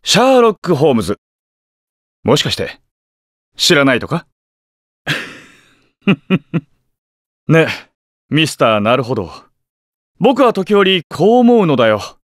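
An actor playing a flamboyant, self-assured detective in a game, speaking in a theatrical and boastful way. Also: male voice, fairly high pitch, very animated, slow, long pauses, no echo, clean studio sound. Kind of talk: game voice clip. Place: studio.

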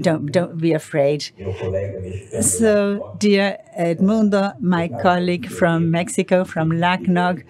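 Woman interpreting a speech live. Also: lowish voice. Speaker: simultaneous interpreter. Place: conference hall.

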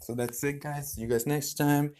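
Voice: mid-range voice, steady tone, normal speed, background noise. A podcast host chatting casually to viewers in a vlog.

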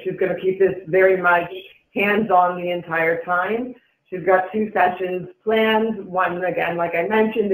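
A man with a high-pitched voice walks listeners through event plans in an informal presenting style. The sound is roomy and echoey, like a conference call from a meeting room. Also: fairly bright tone. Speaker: event organizer presenting an agenda.

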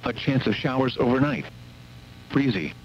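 A man reading a scripted weather forecast as a radio announcer, in a formal, measured delivery. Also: mid-range voice. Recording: muffled weather radio broadcast, hum and static.

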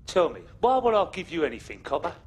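An actor playing a volatile criminal under questioning in a crime game, defiant and cagey. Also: male voice, a little high, big pitch swings, fast talker, husky.

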